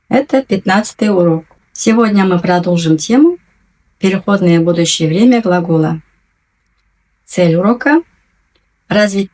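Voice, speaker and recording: A woman teaching a language lesson, patiently and clearly enunciating, repeating each new word twice. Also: lowish voice, a little mellow. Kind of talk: slow, careful language lesson.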